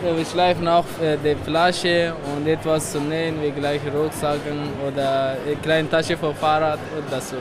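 A seventeen-year-old man from Afghanistan, answering interview questions in halting, simple phrases with pauses. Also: fairly high pitch, level tone, crowd noise. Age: teens. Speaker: trainee at a workshop. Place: busy indoor fair hall.

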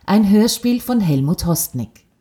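A woman's voice: medium pitch, animated, unhurried, mellow. A woman reading a scripted title announcement for a radio play. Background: music.

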